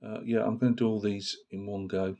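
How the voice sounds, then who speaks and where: A man with a very low voice, hoarse from a cold. He talks about his hobby in a loose, rambling way, full of fillers and restarts. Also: little pitch movement, brisk, darkish tone. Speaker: miniature painting channel host. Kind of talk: casual hobby talk to camera.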